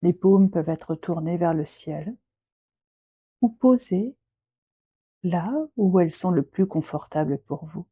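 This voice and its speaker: A woman guiding a yoga nidra relaxation in a soft, breathy voice, speaking gently in short phrases with long, unhurried pauses. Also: on the low side. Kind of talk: guided relaxation meditation, slow and soothing.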